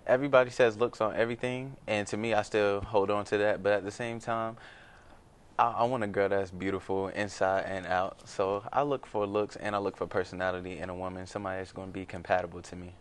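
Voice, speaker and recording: A man's voice: lowish voice, flat delivery, quick pace. A man in his early twenties giving his opinions as a guest in a casual group chat. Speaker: panel guest. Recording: faint background noise, room ambience.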